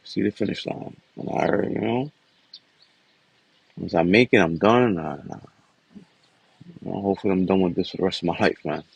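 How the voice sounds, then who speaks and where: A man's voice: sits low, brisk, vocal fry, pauses often, breathy edge, no echo, muffled recording. A man, talking in a loose, casual way and often tagging his sentences with "man" and "you know.". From New York City. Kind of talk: casual personal vlog talk.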